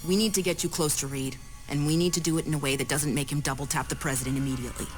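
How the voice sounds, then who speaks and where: An actress playing a cool, resolute intelligence agent in a game cutscene, controlled and businesslike with a hard edge. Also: female voice, low voice, fairly even intonation, racing, close to an everyday voice. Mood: cool, commanding. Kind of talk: video game cutscene acting. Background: music.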